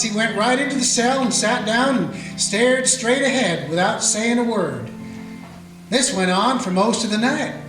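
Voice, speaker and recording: A man voicing a weary small-town sheriff who narrates and questions a prisoner with folksy, dry humor. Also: sits high. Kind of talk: radio play narration and acting. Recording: echoey room with faint music.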